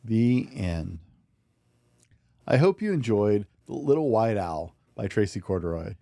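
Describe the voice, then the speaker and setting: A man reads a picture book aloud for small children. His voice is mellow and fairly low, with gentle expression suited to bedtime. The sound is dry and close, with very little room. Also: sing-song, measured pace, creaky, no room sound. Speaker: podcast host and storybook reader.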